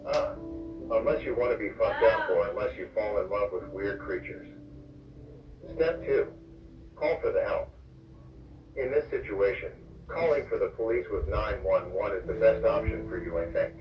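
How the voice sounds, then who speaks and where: A man's voice: lowish voice, lively intonation, normal speed. A man delivering comic scripted narration in a crude, flippant way, as the narrator of a parody public warning. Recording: muffled, echoey, distant, under music.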